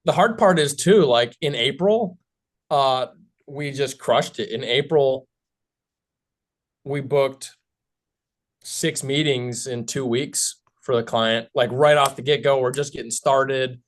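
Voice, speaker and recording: A man's voice: medium pitch, slow pace. A man speaking casually as the client on a coaching call. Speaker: coaching client, agency owner.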